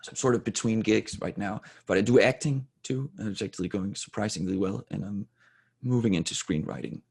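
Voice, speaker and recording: A man in his forties from Denmark, a native Danish speaker, talking candidly in an interview in a halting, self-correcting way with a husky, gravelly voice. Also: on the low side, a little mellow. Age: 40s. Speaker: interview guest.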